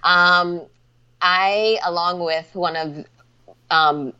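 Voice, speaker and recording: A woman's voice: fairly low pitch, steady tone, drawn-out words, bright, forceful tone, clean tone, highs cut off. A woman in her forties from Barbados, a lettering artist chatting casually as a guest and often saying "you know.". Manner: chatty, with frequent "you know" fillers and light laughs. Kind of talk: casual interview chat.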